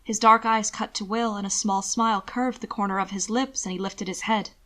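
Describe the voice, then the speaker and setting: Female narrator, mid-pitched, measured, dramatic, scripted storytelling. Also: steady tone, fairly bright tone. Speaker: fan fiction narrator.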